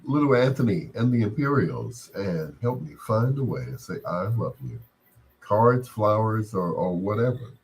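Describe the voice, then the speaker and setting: A man with a fairly low voice hosts a late-night music show. He talks in casual radio patter, playful and teasing. He addresses listeners with easy familiarity, as if they were old friends. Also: very animated, normal speed, darkish tone, poor audio.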